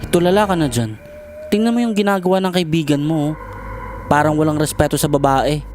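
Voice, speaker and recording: A woman's voice: low-pitched, fairly quick, a little mellow, soft background music, natural voice. A woman acting in a Filipino audio drama as a blunt, nosy young student, bossy in manner, with a Filipino accent. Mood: exasperated.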